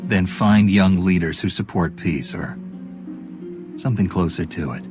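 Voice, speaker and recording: Casting: resolute male alliance commander, very low voice, earnest, music behind. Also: unhurried. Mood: earnest, firm. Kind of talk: cinematic video game acting.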